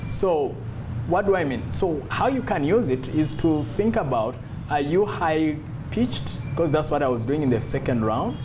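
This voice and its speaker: A man's voice: a little high, expressive, a little slow, over music. A man giving an instructional talk as a public speaking coach, emphatic and demonstrative, repeating key phrases.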